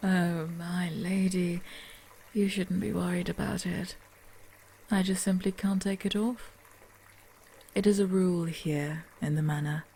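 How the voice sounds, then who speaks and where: Whispery masked butler played by a woman, formal and polite, dark husky tone, a little gravel. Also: fairly low pitch, fairly even intonation, takes it slowly, pauses often, slight background noise. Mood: hushed. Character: masked female butler, quiet and dutiful. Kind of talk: ASMR roleplay audio drama.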